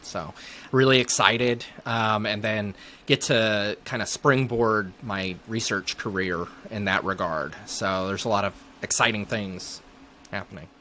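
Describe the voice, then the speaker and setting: A man's voice: lowish voice, measured pace, sharp tone, slight background noise. A man in his thirties speaking as a neurologist guest in a casual interview.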